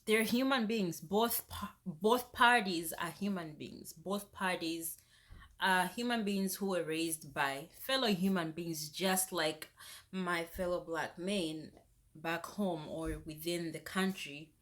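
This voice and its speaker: A young woman talks straight to camera in a slow, deliberate rant. Her voice sits a little low but has a bright, pointed edge, and it jumps up sharply whenever she gets exasperated. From Zambia. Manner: animated, indignant, rises sharply when exasperated. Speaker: vlogger. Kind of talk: casual ranting vlog.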